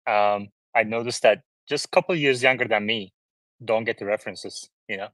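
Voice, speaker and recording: Host, man, late 30s, grew up in Turkey; lowish voice, dry close sound, curious and questioning. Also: normal speed, a bit bright, dry recording. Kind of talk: casual chat.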